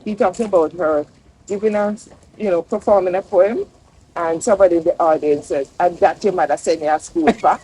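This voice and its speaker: Older Jamaican woman, mellow low voice. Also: a little fast, husky, rough recording. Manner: lively storytelling with local phrases. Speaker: guest professor. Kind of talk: relaxed conversational interview. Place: outdoor courtyard under a large tree.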